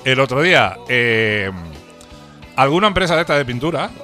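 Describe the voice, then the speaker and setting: A man with a Canarian Spanish accent co-hosting a radio show in casual comic banter, excitably repeating words for emphasis. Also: mid-range voice, moderate pace, soft background music.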